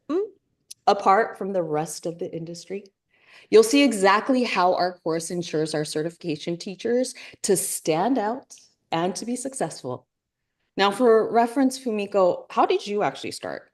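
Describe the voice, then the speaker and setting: A woman co-hosting a live webinar, giving a motivational talk and slowly repeating key lines for emphasis. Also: fairly low pitch. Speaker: webinar co-host.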